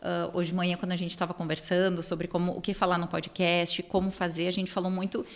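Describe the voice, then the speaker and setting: A woman's voice: fairly low pitch, level tone, fairly quick. A middle-aged woman from southern Brazil, with German as her first language, co-hosting a podcast and recounting her path in a reflective, storytelling way. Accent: southern Brazilian Portuguese with German roots. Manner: storytelling, reflective, warm affirmations. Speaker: podcast co-host. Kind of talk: casual podcast conversation. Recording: long hall reverb.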